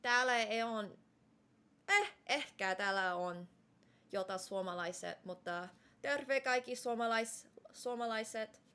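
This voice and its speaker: A woman's voice: on the high side, sharp tone, clean tone. Chatty young woman streamer, Mandarin native. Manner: chatty, many "like" fillers and quick restarts. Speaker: live streamer. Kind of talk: casual live-stream chat.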